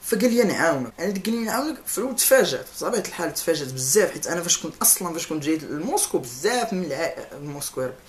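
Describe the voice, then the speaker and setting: A man's voice: on the high side, rapid-fire, few pauses. A young man in his twenties with a Moroccan Arabic accent, telling a story in a casual vlog and gesturing the whole time. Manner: animated, gestures constantly, laughs while telling. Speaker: vlogger. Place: indoor room, seated on a couch. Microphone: camera mic, close framing.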